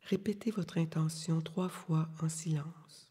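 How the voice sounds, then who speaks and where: A woman guides a relaxation meditation in a long, washy reverb. Her delivery is very slow and calm, with long pauses between instructions. The voice is soft and breathy, staying on an even, level line. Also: sits low. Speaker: yoga nidra meditation guide. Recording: long reverberant hall-like echo.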